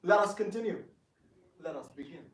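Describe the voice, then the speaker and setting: A man acts out a dignified divine prince who is quick to take offence. His voice is high, his speech formal and firm. It is a dramatic reading in an echoey room, heard some way from the microphone. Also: lively intonation, recorded from a distance. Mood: indignant.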